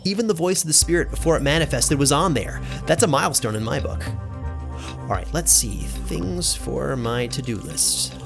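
A man's voice: medium pitch, natural voice. A man acting a pensive enchanter archivist who thinks aloud in a fantasy audio drama. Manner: musing to himself, thinking aloud. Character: pensive male enchanter archivist, muttering over his experiments. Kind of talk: audio drama monologue, scripted credits. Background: music.

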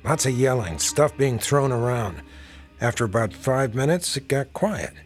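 A man's voice: mid-range voice, animated, normal speed, faint music behind, lightly acted. Man acting a dogged, wry police detective. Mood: insistent. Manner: folksy, probing, casually insinuating. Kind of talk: radio drama acting.

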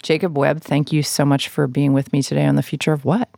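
A podcast host with a fairly low-set voice and a noticeable creak at the edges. The delivery suits both interview talk and read announcements, recorded very dry with no room sound. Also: little pitch movement, fast, a little mellow, no echo. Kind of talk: interview chat and scripted show announcements.

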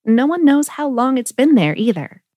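A woman hosting a podcast, reading scripted narration in a measured, explanatory way with clear phrasing. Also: high-pitched, very animated, warm tone, no room sound. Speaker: podcast host.

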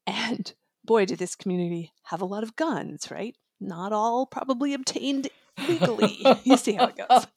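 A woman's voice: a little high, normal speed, a little mellow, no echo, studio quality. A woman discussing an audiobook conversationally, as a reviewer. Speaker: audiobook reviewer and show guest.

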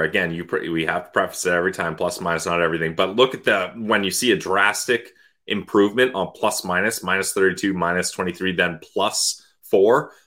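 A man with a somewhat low-pitched voice speaks as the co-host of a sports podcast. His delivery is casual hockey talk, aimed at fans. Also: pushed and bright.

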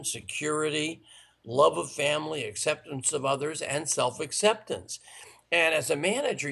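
A man with a raspy, worn voice from long speaking, earnestly giving sales and management advice in an interview. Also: on the high side, a bit bright. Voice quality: gravelly. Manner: earnest, instructive, listing points. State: raspy, tired voice. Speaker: sales trainer guest.

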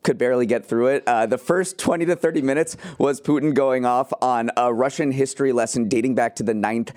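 A man's voice: medium pitch, a little fast, darkish tone. Male news podcast co-host, mid-range voice. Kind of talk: conversational news podcast, partly scripted.

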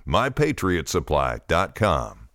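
Low-voiced male ad announcer. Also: huge pitch swings, takes it slowly, a bit bright, dry recording, studio quality. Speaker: advertisement announcer. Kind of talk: scripted urgent radio-style ad read.

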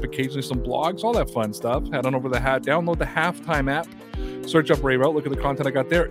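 A man hosting a sports podcast in casual chat, with energetic, rapid promo reading. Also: a little high. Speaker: podcast host.

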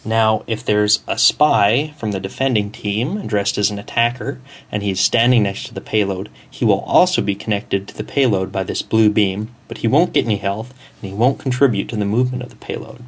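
A man's voice: on the low side, normal speed, hardly any pauses. A man sending a prepared listener message, explaining something step by step.

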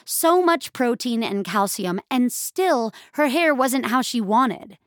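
A woman narrating scripted audio fiction in a measured, expressive storytelling style. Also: on the high side, no room sound, studio quality.

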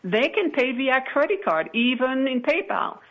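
Woman, medium pitch, assured interview guest, explanatory, muffled lo-fi sound. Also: very animated, normal speed. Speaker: guest, company chief executive. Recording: dull, radio-like lo-fi audio.

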